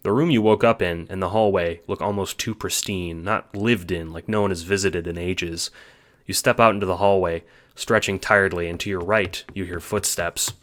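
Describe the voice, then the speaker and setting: Low-pitched man narrating an audio drama. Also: fairly even intonation, moderate pace, a bit bright. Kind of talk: second-person scripted audiobook narration.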